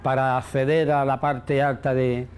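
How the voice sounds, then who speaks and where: A man's voice: mid-range voice, little pitch movement, unhurried. A man, a neighbourhood resident speaking in a documentary interview.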